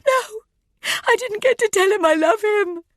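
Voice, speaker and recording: Desperate mother as a woman reads her, sobbing, breathless, voice straining high, close dry recording. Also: animated, moderate pace, dry recording. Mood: anguished. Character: desperate young mother pleading for her son.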